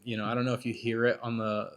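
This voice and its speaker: A man hosting a podcast, talking casually and a bit self-critically with lots of restarts, his voice worn and hoarse from being sick. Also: medium pitch, level tone, quick pace. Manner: rambling, self-critical, many restarts and fillers. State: losing his voice, getting over being sick. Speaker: podcast host. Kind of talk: casual solo commentary between interview segments.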